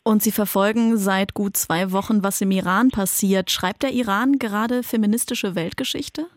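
Woman news podcast host, clear questioning tone, dead dry studio mic. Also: medium pitch, moderate pace, no room sound. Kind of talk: scripted news narration and interview.

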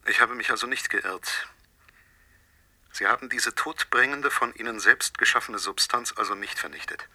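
A cold, vengeful man in a radio drama, with a piercingly tense, rough-edged voice. He phrases his threats politely and deliberately, savouring each taunt like someone long overlooked. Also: medium pitch, bright, forceful tone. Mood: cold, knowing. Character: vengeful male stranger, self-styled nobody, coldly menacing caller. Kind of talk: radio play acting.

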